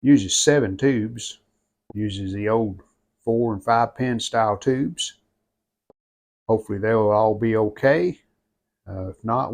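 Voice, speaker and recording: A man giving an unscripted hands-on restoration walkthrough in plainspoken, halting short phrases. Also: fairly low pitch. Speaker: radio restorer presenting own channel.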